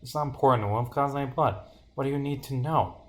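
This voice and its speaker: A man's voice: mid-range voice, fast talker, close to an everyday voice. A man voicing a defensive, evasive young male stage performer from a visual novel, protesting under questioning.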